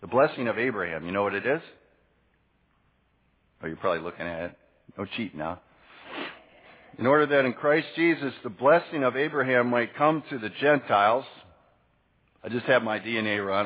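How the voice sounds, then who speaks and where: A man's voice: on the low side, husky. A man preaching a sermon in a conversational, teacherly way, putting questions to his congregation and answering them himself. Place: large church hall, preaching to a congregation. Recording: echoey hall, dull lo-fi sound.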